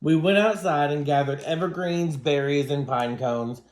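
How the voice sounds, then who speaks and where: A man with a somewhat high voice reads a children's storybook aloud. He sounds like a teacher, phrasing it dramatically for young listeners. The recording is noticeably roomy and echoing. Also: moderate pace. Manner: dramatic, acts out dialogue. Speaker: teacher reading to students.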